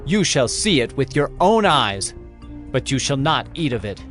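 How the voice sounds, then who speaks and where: A man's voice: mid-range voice, very animated, normal speed, a bit bright, soft background music. A man playing a bold, stern prophet in a dramatized audio Bible, with a solemn, declamatory delivery. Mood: solemn, commanding.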